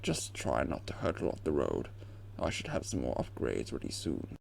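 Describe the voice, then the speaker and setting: A man's voice: very deep voice, wildly swooping pitch, normal speed, gravelly, heavy background noise, full character voice. A man playing a polite, dryly witty older tech aide to a hero in an amateur video game fan dub. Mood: dry courtesy. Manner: polite, dry.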